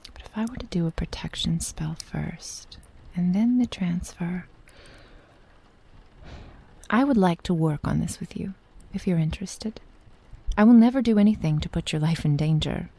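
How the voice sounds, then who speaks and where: Low, breathy ancient witch, acted by a woman. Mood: protective, serious. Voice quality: soft, breathy, often dropping to a whisper. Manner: slow, formal archaic phrasing, deliberate pauses. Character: ancient possessive witch, tender, wary, loving mentor. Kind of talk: ASMR roleplay, soft intimate acting.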